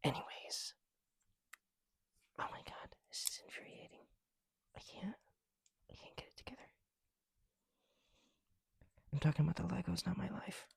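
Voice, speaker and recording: A young man chatting casually in soft, breathy ASMR style, often dropping to a whisper, slightly breathless behind a mask, with a rambling, self-deprecating manner. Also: sits high, moderate pace, long pauses, dry recording, studio quality. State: somewhat breathless through a heavy mask. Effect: speaking through a mask. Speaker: ASMR video creator. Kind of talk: ASMR, casual chat while building bricks. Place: indoors, at home.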